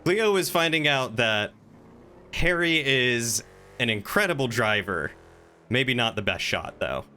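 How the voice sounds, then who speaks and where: A man's voice: mid-range voice, lively intonation, measured pace, sharp tone. A man chatting casually and helpfully while playing an online roleplay game, with an easygoing manner. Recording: faint background noise.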